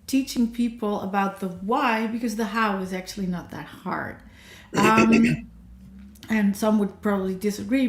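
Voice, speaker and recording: A woman's voice: mid-range voice, roomy sound. A woman, an accessibility coach, chatting energetically as a livestream guest over a video call. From Netherlands. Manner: chatty, energetic, self-described nonstop talker.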